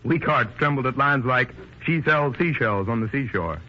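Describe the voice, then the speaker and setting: A man's voice: mid-range voice, moderate pace. A man presenting a radio demonstration in a formal, showman-like 1930s announcing style. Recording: dull lo-fi 1930s radio recording.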